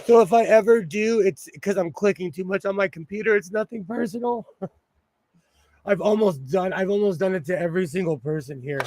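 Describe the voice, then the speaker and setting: A man hosting a casual sewing livestream, chatty and apologetic, laughing often, his voice a little hoarse. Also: high-pitched, moderate pace, noisy background. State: slightly hoarse, losing his voice.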